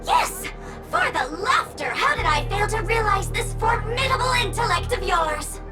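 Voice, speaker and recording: A woman's voice: extremely high pitch, very animated, moderate pace, far from the mic, loud music behind the voice. An actress playing a flamboyant woman sheriff in a video game, with melodramatic, gushing delivery and sudden exclamations. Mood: gushing, excited.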